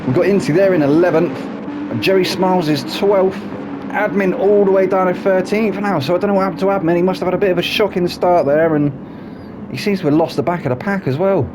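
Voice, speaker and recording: A man with a snuffly hay fever voice, commentating a sim racing league in a chatty way, full of tag questions. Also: fairly quick. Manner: chatty, asks tag questions, drops low for asides. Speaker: race commentator. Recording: loud game engine noise behind voice.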